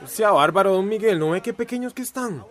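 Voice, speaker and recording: A man's voice: high voice, expressive, a little fast, a little hiss or hum. A young man acting a friendly, bantering character in a radio drama, colloquial and teasing.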